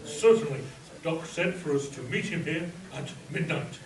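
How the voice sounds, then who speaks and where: A man's voice: a little high, animated, moderate pace, over a noisy crowd, natural voice. A man playing a self-assured, loyal lawyer sidekick in a live spoof radio play. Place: convention hall before a live audience. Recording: echoey distant mic, audience noise.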